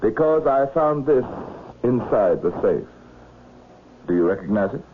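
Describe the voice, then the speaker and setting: A cool, businesslike investigator in a vintage radio mystery. His voice is on the low side, measured and probing. The recording is boxy and narrow with faint noise. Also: sing-song. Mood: probing, firm. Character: cool, methodical male investigator questioning a murder suspect. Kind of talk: vintage radio drama acting.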